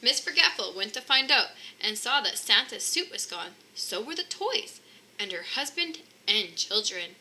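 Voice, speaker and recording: A woman reading a children's storybook aloud in an animated narrating style. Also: medium pitch, unhurried, poor audio. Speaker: storybook reader. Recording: faint background noise.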